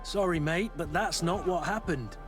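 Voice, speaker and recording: An actor playing a blunt, commanding guard captain with a clipped, direct and sardonic manner in video game dialogue. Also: male voice, a little high, normal speed, music in the background, natural voice. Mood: skeptical.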